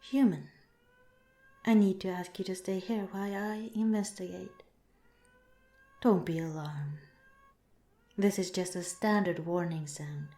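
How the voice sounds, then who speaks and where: A calm alien scientist, acted by a woman speaks in a lowish, very mellow voice. The delivery is soft, measured and politely detached, in the manner of an ASMR roleplay. The sound is noticeably roomy and echoing. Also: natural voice. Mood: controlled concern. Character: calm, clinical alien researcher reassuring a captive human.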